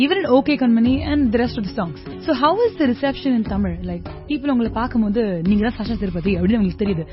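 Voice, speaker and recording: Fast, higher-voiced woman interviewer, short replies, muffled. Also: lively intonation, over music. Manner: brief back-channel replies, rapid questions. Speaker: podcast host. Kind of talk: interviewer, asks questions.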